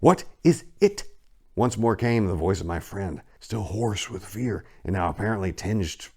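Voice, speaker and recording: Low, gravelly man narrating horror dramatically. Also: darkish tone. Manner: theatrical, brooding, deliberate phrasing. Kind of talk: dramatic first-person horror story reading.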